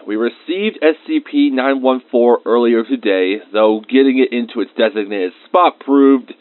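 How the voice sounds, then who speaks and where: A man's voice: medium pitch, no echo, lightly acted. Muffled, dry-sounding man acting as a researcher dictating logs. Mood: bitter, agitated. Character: bitter, easily irritated male researcher dictating lab log entries. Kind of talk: scripted narration of log entries in a fan audio drama. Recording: muffled, band-limited close dry recording.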